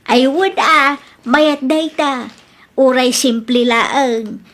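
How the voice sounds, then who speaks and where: A woman's voice: high voice, steady tone, drawn-out words, fairly bright tone, heavily acted. A woman acting a forgiving older mother in a radio drama, with an Ilocano accent. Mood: tender, forgiving.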